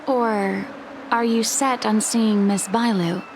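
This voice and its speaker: A woman's voice: medium pitch, steady tone, slow, a little hiss or hum, close to an everyday voice. A woman voicing a deferential, protective attendant in a video game, speaking formally, politely and earnestly. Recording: music behind the voice.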